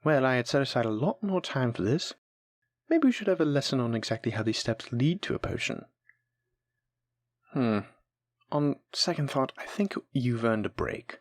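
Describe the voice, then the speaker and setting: A man playing a kind, encouraging alchemy teacher in a fantasy audio roleplay, speaking gently and patiently to the listener. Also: medium pitch, animated, moderate pace, pauses often, no echo, close to an everyday voice. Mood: warm, reflective. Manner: gentle, patient, reassuring instruction. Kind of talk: audio roleplay acting, spoken intimately to listener.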